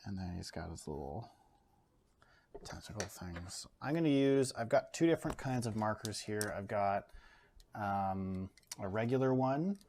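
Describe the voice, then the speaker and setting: A relaxed Canadian man with a fairly low voice talks a viewer through a drawing, pausing with easy fillers as he works. He sounds like he is demonstrating at a table in his home studio. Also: slight background noise. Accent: Canadian English. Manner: relaxed, thinks aloud with fillers while drawing. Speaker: art educator. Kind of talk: casual art demonstration.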